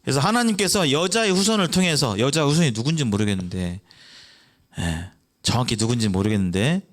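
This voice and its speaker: A man's voice: expressive, brisk. A young man preaching an evangelistic sermon. He keeps asking the congregation questions and answers them himself. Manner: acts out children's voices in a brief high voice.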